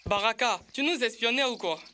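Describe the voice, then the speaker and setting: A woman's voice: a little high, expressive, a little fast, bright, forceful tone, slight background noise. A woman voicing a sceptical, resourceful young boy in an educational cartoon. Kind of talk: dubbed educational cartoon acting.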